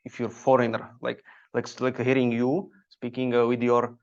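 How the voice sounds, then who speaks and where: Slovak guy w accent, gravelly voice, kinda monotone, dull lo-fi sound. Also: mid-range voice. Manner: halting, searching for words. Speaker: guest comedian. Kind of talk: casual podcast conversation. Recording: dull lo-fi audio.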